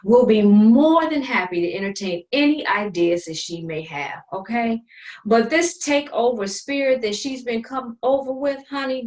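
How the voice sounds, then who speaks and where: A woman's voice: mid-range voice, lightly acted. A woman playing an exasperated, sarcastic eldest sister, bossy and condescending with her endearments, in a dramatic play reading. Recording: distant from the microphone.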